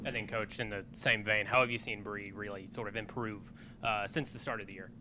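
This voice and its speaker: A man's voice: fairly low pitch, steady tone, a little fast. A man, a reporter, asking direct questions at a press conference. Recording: noisy room ambience.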